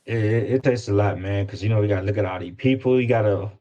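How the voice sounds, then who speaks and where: A man's voice: on the low side, fast talker, warm tone, lo-fi sound. A man chatting casually as an interview guest, informal and often repeating words as he searches for phrasing. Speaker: guest, independent rapper. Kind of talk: casual chat.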